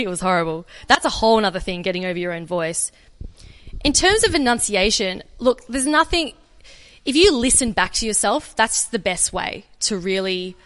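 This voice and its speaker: An Australian woman giving an energetic, chatty conference talk and answering questions. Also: mid-range voice, big-room sound. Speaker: conference speaker. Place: conference room.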